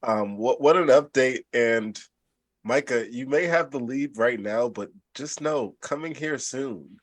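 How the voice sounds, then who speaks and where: A man's voice: mid-range voice, unhurried, dry recording. A man co-hosting a podcast in casual group banter, repeating phrases for emphasis. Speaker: podcast co-host.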